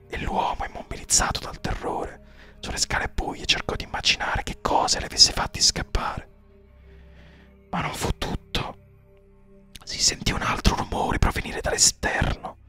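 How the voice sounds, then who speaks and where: A man narrating a horror story in a hushed, breathy, suspenseful and theatrical voice with measured pauses. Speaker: audiobook narrator.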